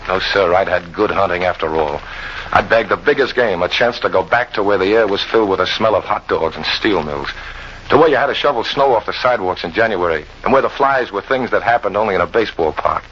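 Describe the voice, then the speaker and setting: A man's voice: sits low, lively intonation, a little fast, fairly bright tone. An actor playing a wary, hard-boiled American impostor who narrates his own story in old-time radio drama style. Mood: wry, relieved. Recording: dull, noisy vintage radio recording.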